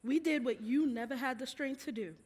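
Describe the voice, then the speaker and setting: A woman's voice: sits high, brisk, a little mellow, breathy edge. An actress on a theatre stage playing a tender, resolute enslaved mother with folksy storytelling. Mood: defiant. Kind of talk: stage play monologue. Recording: reverberant theatre stage recording.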